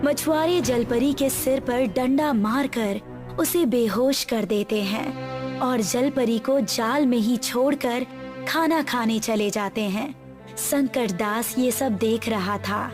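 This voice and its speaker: Female narrator for a moral tale, high-pitched and measured, over soft music. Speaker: story narrator. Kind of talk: scripted moral-story narration.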